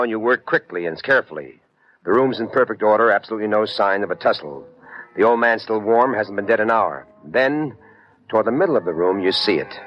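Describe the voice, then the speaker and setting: A man's voice: medium pitch, lightly acted. A man playing a wry, businesslike hard-boiled detective in an old-time radio drama, at times narrating his own case. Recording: dull vintage radio recording.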